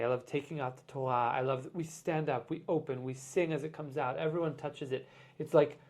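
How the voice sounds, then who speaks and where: A man, a guest on a podcast, talking in a casual, reflective way and often pausing with "like" as he explains his ideas. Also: fairly high pitch, darkish tone. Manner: reflective, explains with many "like" fillers. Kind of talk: casual conversation.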